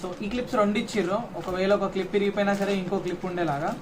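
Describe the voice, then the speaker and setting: A man's voice: high voice, steady tone, quick pace. A man with a Telangana Telugu accent chatting casually as in an unboxing vlog, informally mixing in English words. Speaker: motovlogger. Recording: faint background noise.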